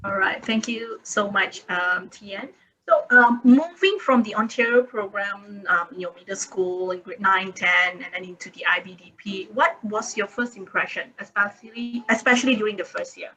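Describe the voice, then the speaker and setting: Bright-voiced woman moderating a webinar. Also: medium pitch, moderate pace, low-quality recording. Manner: polite, thankful, many fillers. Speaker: panel moderator.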